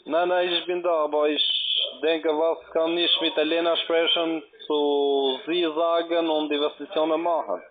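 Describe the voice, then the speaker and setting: A man with a foreign accent, speaking halting, broken phrases in a phone conversation as a call centre agent. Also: fairly high pitch, level tone. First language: not German. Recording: tinny, narrow phone line.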